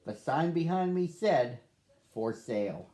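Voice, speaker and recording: A man's voice: medium pitch, animated, a little slow, warm tone, a little hiss or hum, close to an everyday voice. A man narrating as a sad, lonely young male dog in a children's storybook, reading earnestly. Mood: wistful.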